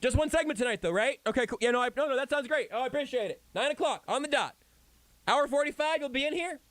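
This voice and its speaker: A man hosting a sports radio show, joking around in animated, self-deprecating on-air banter from a radio studio microphone. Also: very high voice, quick pace, no room sound.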